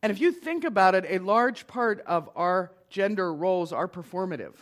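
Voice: mid-range voice, expressive, moderate pace. A middle-aged minister giving a conversational lecture to an audience, with wry asides.

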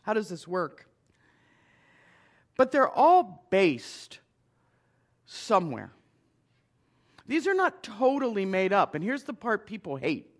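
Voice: mid-range voice, big pitch swings, slow, long pauses. A middle-aged minister giving a conversational lecture to an audience, with wry asides.

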